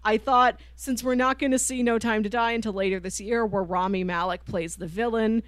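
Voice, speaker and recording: A woman's voice: on the high side, steady tone, fairly quick, smooth, no room sound. Chatty woman podcaster, dry close sound. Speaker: podcast co-host. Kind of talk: casual chat, pitching films to co-host.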